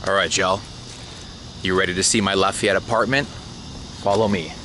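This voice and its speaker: A man's voice: low-pitched, fairly even intonation, moderate pace, bright, forceful tone, slight background noise. A man talking casually into his camera as a travel vlogger, laid-back and sometimes swearing. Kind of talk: casual travel vlog with chat.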